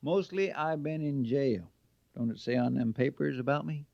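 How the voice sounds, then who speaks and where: An actor playing a sullen, uneducated jailbird army recruit, laconic and folksy, in an old radio western. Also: male voice, mid-range voice, normal speed, creaky, a little mellow, no echo, natural voice. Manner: laconic, folksy ungrammatical speech. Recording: dull old radio recording.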